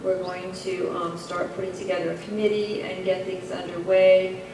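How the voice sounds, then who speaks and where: Flat-voiced woman, far in an echoey room. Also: fairly low pitch, noisy background. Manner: measured, with frequent "um"s. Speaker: district administrator introducing a speaker. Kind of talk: formal meeting remarks. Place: school district meeting room.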